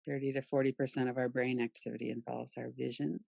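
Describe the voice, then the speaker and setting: A woman leading a guided relaxation meditation in a soothing, gentle, unhurried manner with pauses. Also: rumbling low, little pitch movement, creaky, dry recording, lo-fi sound. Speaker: yoga nidra meditation guide.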